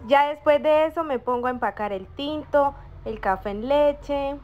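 A woman's voice: sits high, normal speed, highs cut off, soft background music. A young woman, narrating her working day in a chatty, upbeat voice-over. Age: young adult. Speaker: vlogger.

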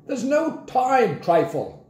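A man voicing a gallant, pompous old British military grandfather with brisk, commanding clipped speech, in an audiobook story. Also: extremely high pitch, big pitch swings, mellow, roomy sound, voice pushed up. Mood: irritated.

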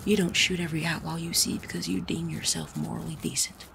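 A sardonic gentleman outlaw from a western audio drama, acted by a woman. The voice is gravelly and articulate, smoothly persuasive in manner. Clear noise runs behind it. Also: lowish voice, little pitch movement, fast talker. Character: suave, sardonic gentleman bandit of the Wild West.

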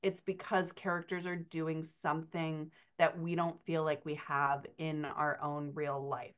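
Middle-aged woman, lower register, coach answering questions conversationally with emphasis, slightly lo-fi call audio. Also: little pitch movement, a little slow, clear, resonant tone. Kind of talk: conversational podcast Q&A, explanatory. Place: indoors on a video call. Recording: dull, muffled video-call audio.

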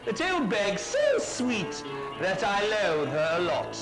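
An actor playing a gloating, sinister pantomime villain, a giant's henchman, with a sneering, drawn-out theatrical delivery. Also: high voice, huge pitch swings, full character voice. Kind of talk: theatrical stage pantomime acting in rhyme. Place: theatre stage, indoors. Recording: echoey theatre stage with music behind.

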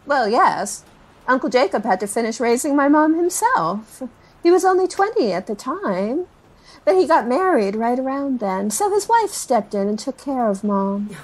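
A woman's voice: high-pitched, sing-song, moderate pace, low-quality recording. An actress playing a chatty, nervous woman in her forties in an audio drama, rambling through family memories with sighs and self-corrections. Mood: matter-of-fact. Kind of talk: interviewee in a found-footage audio drama.

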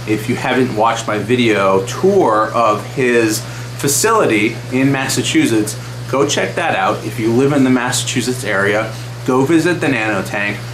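A man in his thirties talks straight to the camera in an easy, upbeat vlog manner, dropping plenty of "uh"s. His mid-range voice bounces around a small, roomy space. A steady hum sits clearly underneath. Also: mid-range voice. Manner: chatty, enthusiastic, many fillers. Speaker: aquarium channel host. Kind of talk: casual vlog update. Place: small wood-paneled room indoors.

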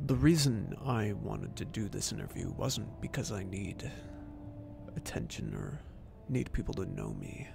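A man acting in an audio drama as an earnest, awkward, soft-hearted inventor who haltingly searches for words. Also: lowish voice, expressive, vocal fry, full character voice. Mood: tender, reflective. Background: music.

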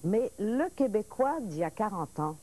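A woman in her sixties born in Paris, a lively talk-show guest whose French mixes Parisian and Quebec speech, telling stories with restarts. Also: mid-range voice, moderate pace. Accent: mixed Parisian and Quebec French. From France. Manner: lively storyteller, restarts and laughs, points her words. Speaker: actress guest. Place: TV studio set, seated at table.

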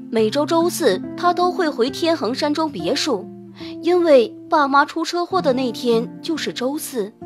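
A woman narrating a novel in a scripted, dramatic audiobook style. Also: high-pitched.

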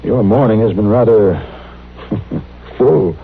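Polite city doctor, lowish voice, formal and genteel, tinny old radio drama. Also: male voice, slow pace, vocal fry. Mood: earnest, persuasive. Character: polished, courteous big-city doctor from the East. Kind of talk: 1950s radio drama acting. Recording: tinny old radio broadcast, faint noise.

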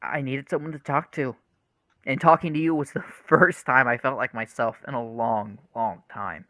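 A man's voice: medium pitch, fairly even intonation, moderate pace, sharp tone, no echo, close to an everyday voice. An actor playing an earnest, troubled young man in a radio play, hesitant and confessional. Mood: tender.

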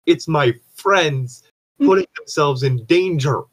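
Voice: mid-range voice, slow, husky, no echo. An anxious, exasperated podcast co-host pleading and swearing in casual chat with friends. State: anxious, stressed.

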